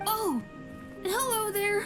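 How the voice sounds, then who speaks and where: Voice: extremely high pitch, slow. A performer voicing a small, sweet and timid young male dragon in a video game, earnest and childlike. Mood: shy. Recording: game music behind the voice.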